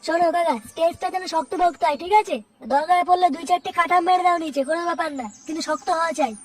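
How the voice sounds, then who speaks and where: A performer voicing a bossy young male club organiser in a cartoon comedy, giving brisk orders. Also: extremely high pitch, steady tone, far from the mic, a little hiss or hum. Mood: instructing.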